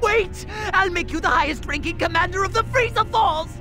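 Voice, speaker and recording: An actor playing an arrogant, scheming alien tyrant in an anime fighting game, sneering and theatrical. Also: male voice, very high voice, fairly quick, exaggerated voice. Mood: desperate, enraged. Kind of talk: anime video game voice acting. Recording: very loud music behind.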